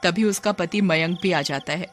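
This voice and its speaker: A woman's voice: lowish voice, moderate pace. A woman storyteller reading scripted narration for a cartoon horror story.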